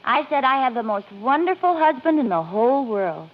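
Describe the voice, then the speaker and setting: A woman's voice: high-pitched, very animated, brisk. A woman acting a wry, affectionate, teasing wife in a 1940s radio comedy. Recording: dull old radio recording.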